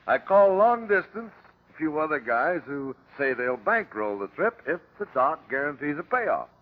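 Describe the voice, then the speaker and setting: An actor playing a cocky, slangy, wisecracking treasure hunter in an old-time radio drama. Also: male voice, on the high side, phone-quality sound. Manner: slangy, flippant tough talk.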